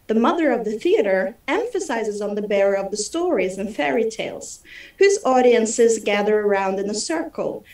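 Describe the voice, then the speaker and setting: A young woman from Norway reading a prepared conference presentation over a video call from home, in a steady delivery. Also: on the high side. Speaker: theatre critic presenting. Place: room at home. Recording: roomy video call audio from a distance.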